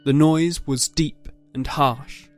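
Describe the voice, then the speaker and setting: A man giving a measured, literary narration of a scripted dramatic story. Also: a little high, steady tone, faint music behind.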